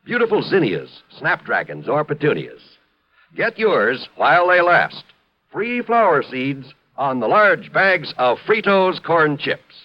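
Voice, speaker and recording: A man's voice: fairly high pitch, sing-song, measured pace. A man announcing and reading an ad in an upbeat, emphatic 1950s broadcast style. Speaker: radio announcer. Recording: dull old radio broadcast with music.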